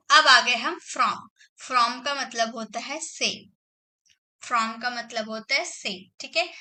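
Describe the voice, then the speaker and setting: A woman teaching English grammar, dictating example sentences slowly and repeating phrases as she checks in with her students. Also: fairly high pitch, pushed and bright. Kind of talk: grammar lesson.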